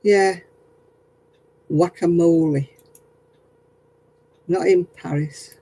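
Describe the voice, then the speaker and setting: A man's voice: a little high, fairly even intonation, very slow, gravelly, dark tone. A man chatting casually with viewers while crocheting on a livestream, self-mocking and laughing often. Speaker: craft livestream host.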